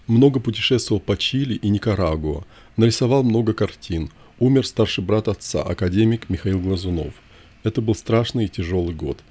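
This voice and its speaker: A man narrating a scripted first-person memoir in short, measured, declarative sentences. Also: on the low side, darkish tone.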